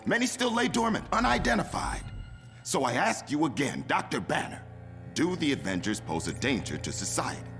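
Accusing male official, stern, animated pitch, long hall reverb, game hearing scene. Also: normal speed, vocal fry, over music. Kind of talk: video game cutscene acting.

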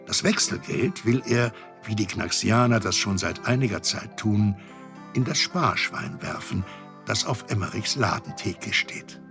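A genial storyteller with a lowish, gravelly voice. He narrates a scripted children's radio play over faint music. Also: a little slow. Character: genial adult male storyteller.

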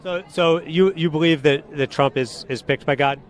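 A man's voice: a little high, normal speed. A young man doing a probing street interview outdoors, questioning and persistent. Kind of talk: probing vox-pop interview. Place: outdoors in a street by a rally queue. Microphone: handheld microphone.

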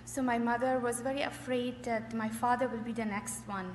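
A middle-aged woman from Afghanistan giving a personal testimony in short phrases, hesitant and often pausing. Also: fairly high pitch, steady tone. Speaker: church member giving testimony. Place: large echoey hall. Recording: echoey hall with faint noise.

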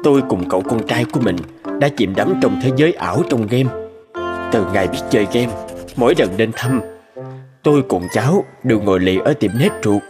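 Middle-aged country dad in a cartoon, done by a guy, lively pitch, music playing behind. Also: a little fast, natural voice. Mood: confessional. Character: middle-aged rural father, worried then hooked on gaming. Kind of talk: animated cartoon dubbing and narration. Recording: music under the voice.

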